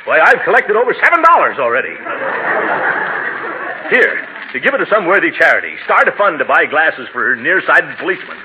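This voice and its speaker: A man's voice: a little high, big pitch swings, brisk. An actor playing a pompous, flustered middle-aged uncle in 1940s radio comedy style, blustering his way along. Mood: exasperated. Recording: scratchy, narrow-band old radio recording.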